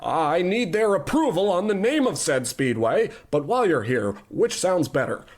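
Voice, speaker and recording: A man's voice: high voice, sing-song, moderate pace. An actor playing a pompous, ruthless rich tycoon in a radio-style comedy, talking down to his staff with sinister muttered asides. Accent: New England. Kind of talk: old-time radio comedy acting.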